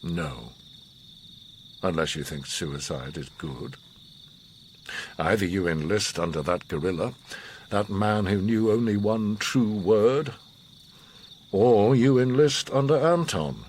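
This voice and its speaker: An actor playing a grave, commanding, enigmatic older war survivor in a radio drama, speaking in a measured, solemn, philosophical way. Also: male voice, on the low side, a little mellow, slight background noise. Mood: stern. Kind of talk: radio drama monologue and dialogue.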